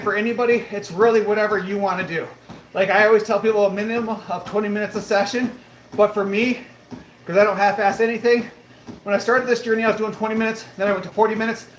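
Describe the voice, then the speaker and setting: A man in his late thirties hosting a live stream from his treadmill, answering viewer comments in an upbeat, motivational way. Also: high-pitched. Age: 30s. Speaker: livestream host. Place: home room with a treadmill, indoors. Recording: roomy echo, faint background noise.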